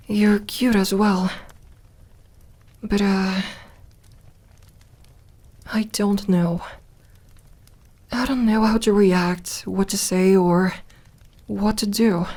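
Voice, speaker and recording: A woman voicing a lonely, guarded yet tender immortal vampire girl in an intimate ASMR roleplay, speaking softly and haltingly. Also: medium pitch, fairly even intonation. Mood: hesitant, sad. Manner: soft, hesitant, halting delivery with sighs.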